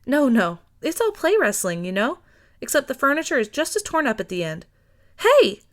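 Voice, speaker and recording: Woman voicing a sweet, easygoing woman, lifted pitch. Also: big pitch swings, moderate pace. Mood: amused. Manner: bright-mannered, dithering, teasing.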